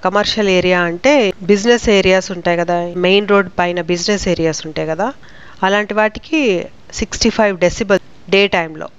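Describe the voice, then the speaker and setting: A woman's voice: medium pitch, smooth, dull audio. A woman with a Telugu accent giving an informal explanatory lesson as a law teacher, explaining terms step by step with frequent tag questions. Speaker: law teacher presenting short legal explainers.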